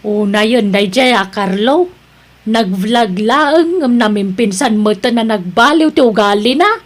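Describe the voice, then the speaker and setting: A woman's voice: a little high, expressive, measured pace. A woman with an Ilocano Filipino accent playing a concerned, disapproving wife in an audio drama, speaking in a plaintive, reproachful way. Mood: disappointed.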